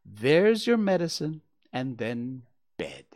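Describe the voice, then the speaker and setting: A storybook reader voicing a brisk, motherly female kangaroo, teasing and firm, by turns soothing and mock-severe. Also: medium pitch, sing-song, a little mellow. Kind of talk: children's storybook character voice.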